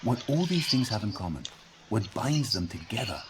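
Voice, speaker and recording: A man with a low voice reads a scripted, essay-like audio log. He is reflective and leans on key words with lively, varied intonation. Noticeable noise runs behind him. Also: moderate pace. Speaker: audio log narrator in a video game.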